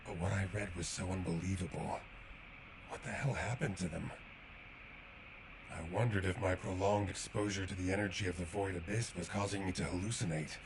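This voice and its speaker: An actor playing a grave, devoted warrior in a sci-fi video game, speaking in an earnest, measured way. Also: male voice, low voice, creaky, background noise. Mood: troubled, solemn. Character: grave, devoted male sci-fi warrior grieving lost comrade. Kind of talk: video game quest dialogue.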